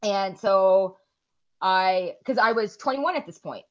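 A woman's voice: medium pitch, slow pace, lo-fi sound. A woman in her forties, co-hosting a podcast and chatting casually, rambling and swearing freely. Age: 40s. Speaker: podcast co-host. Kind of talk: casual chat.

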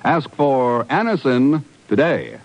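A man's voice: medium pitch, sing-song, slow, faint music behind. A man with an American accent announcing in a polished, emphatic 1950s radio style from a script. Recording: muffled old radio broadcast.

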